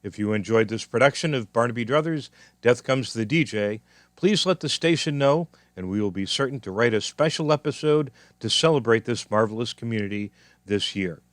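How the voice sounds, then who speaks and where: A man's voice: medium pitch, expressive, a little fast, dry recording. A man reading scripted radio credits and announcements as the program's announcer. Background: music.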